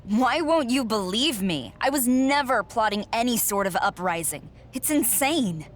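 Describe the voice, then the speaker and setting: A woman's voice: a little high, expressive, a little slow. An actor playing a defiant, frustrated young female wrestler, insistent and indignant, in a video game cutscene. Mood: pleading frustration.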